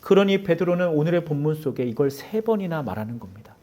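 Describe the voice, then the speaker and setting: Animated male pastor with a mellow voice. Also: fairly high pitch, fast talker. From Seoul. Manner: dramatic preaching that acts out quoted voices and drops to near whispers. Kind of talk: sermon. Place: church sanctuary.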